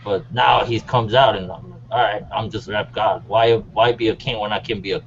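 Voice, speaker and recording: A man's voice: lowish voice, steady tone, moderate pace. A man co-hosting a music panel, speaking in an informal, conversational way. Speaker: co-host. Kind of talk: casual panel chat. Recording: dull audio with clear background noise.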